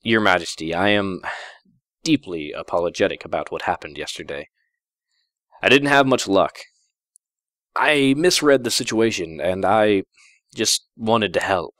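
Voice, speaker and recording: A man's voice: deep voice, expressive, unhurried, pauses often, fairly bright tone, no echo, studio quality. A man playing a meek, embarrassed office worker in a story, hesitant and apologetic. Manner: hesitant, awkward.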